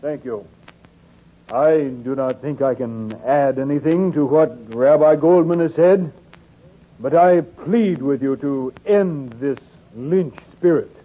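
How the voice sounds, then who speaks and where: An earnest clergyman pleads in a high, husky voice. The delivery is formal 1940s radio drama, heard through a thin, tinny recording. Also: slow. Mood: earnest, pleading. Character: earnest, pleading clergyman; later a gruff policeman. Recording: tinny, band-limited 1944 radio recording.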